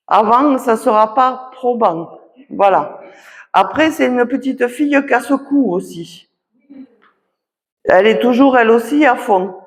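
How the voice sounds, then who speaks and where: Woman speaking slowly in a reverberant hall. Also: on the high side. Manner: halting, punctuates phrases with "voilà" and "d'accord". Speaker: magnetiser giving readings. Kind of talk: spoken readings at a public talk. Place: association conference hall.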